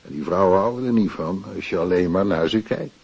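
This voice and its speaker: A wry down-to-earth widower in a radio play, very raspy low voice, says little. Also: male voice, a little fast, a little mellow, dull audio, full character voice. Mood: frank. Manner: plain-spoken, terse, wry. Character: wry, down-to-earth widower, night worker who paints. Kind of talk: radio drama dialogue.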